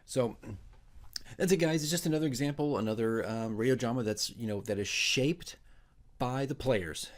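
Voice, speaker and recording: A man's voice: medium pitch, normal speed. A man working as a dungeon master, presenting his fantasy radio drama. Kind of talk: dramatic narration and casual commentary.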